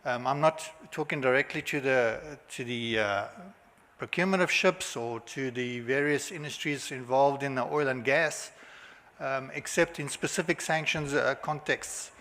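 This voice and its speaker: A man with a South African accent giving a halting conference presentation, full of filler sounds and restarts. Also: medium pitch, sharp tone. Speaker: conference speaker. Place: hotel conference hall. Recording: long hall reverb.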